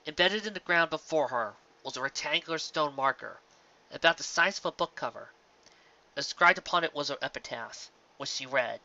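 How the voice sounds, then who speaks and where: A man reading scripted fiction as a narrator, in a measured, earnest, dramatic style. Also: a little high, pushed and bright, lo-fi sound.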